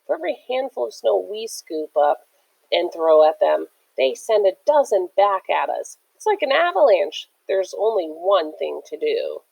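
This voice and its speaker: A woman reading a children's book aloud as a teacher, in an expressive, theatrical way. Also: mid-range voice, moderate pace, creaky, slightly rough, highs cut off.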